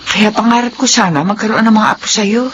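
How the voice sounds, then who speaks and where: An actress playing a controlling middle-aged Filipino mother in a radio melodrama, deliberate and imploring in her persuasion. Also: medium pitch, fairly even intonation, dull audio, a little hiss or hum, natural voice. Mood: worried, persuasive.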